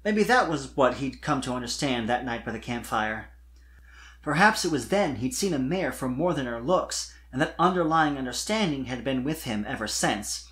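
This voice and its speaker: An expressive fan fiction narrator with a medium pitch. The delivery is scripted storytelling, with a very animated rise and fall through each sentence.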